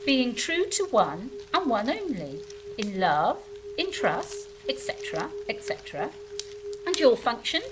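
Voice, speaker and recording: An actress playing a composed, matter-of-fact angel in a stage drama. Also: female voice, a little high, big pitch swings, unhurried. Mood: composed, explaining. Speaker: stage actor. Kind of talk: theatrical stage drama acting. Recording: clearly noisy stage recording with hum.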